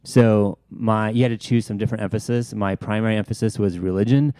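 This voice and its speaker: A man with a fairly low, mellow voice hosts a casual church podcast. He is relaxed and jokes about himself, recorded close and dry. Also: a little fast, smooth, no echo. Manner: relaxed, self-deprecating, laughs along. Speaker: podcast host. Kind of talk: casual discussion.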